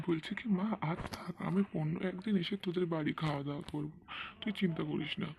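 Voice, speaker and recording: Modest, gentle father over faint noise man acting. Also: on the high side, fairly even intonation, fast talker, gravelly. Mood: apologetic. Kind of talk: cartoon dubbing.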